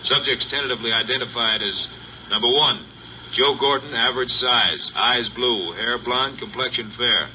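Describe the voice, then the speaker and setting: An official police dispatcher with a very sharp, forceful voice. He reads bulletins in a clipped, formal style, over a dull, faintly noisy vintage radio recording. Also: mid-range voice, normal speed. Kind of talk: old-time radio acting, bulletin read-out.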